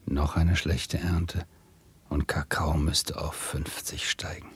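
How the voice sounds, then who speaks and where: A man's voice: a bass voice, level tone, takes it slowly, fairly bright tone, husky, in a whisper, full character voice. An actor playing a brooding, obsessed doctoral student who narrates his own story in a 1970s German radio play, often muttering. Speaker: narrator and lead character.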